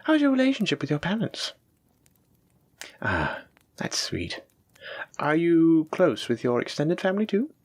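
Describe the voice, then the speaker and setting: A man playing a doting, gently possessive dragon-blooded librarian, speaking tenderly and coaxingly to his sweetheart in an intimate audio roleplay. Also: fairly high pitch, wildly swooping pitch, a little slow, long pauses, dry recording, heavily acted. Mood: curious, warm. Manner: tender, coaxing, affectionate, reassuring. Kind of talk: intimate scripted audio roleplay addressed to the listener.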